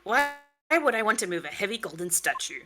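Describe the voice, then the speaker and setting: A woman voicing a smug, grandiose gentleman detective with condescending politeness in a live comedic game dub. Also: mid-range voice, big pitch swings, brisk, loud music behind the voice, natural voice. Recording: noisy mic with clicks.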